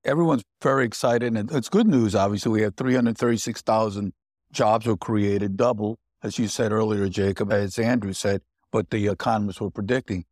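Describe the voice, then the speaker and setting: A man's voice: fairly low pitch, moderate pace, a little mellow, no echo, studio quality. A man speaking as an economist on a panel, explaining points and often restarting his phrases. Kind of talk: panel discussion.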